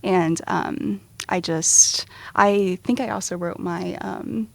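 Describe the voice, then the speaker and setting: Young woman with a gravelly, low voice. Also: steady tone, slow, dry recording. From Oklahoma, USA. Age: early 20s. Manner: poised and polite, with "um" fillers. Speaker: student guest. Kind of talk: casual interview answers.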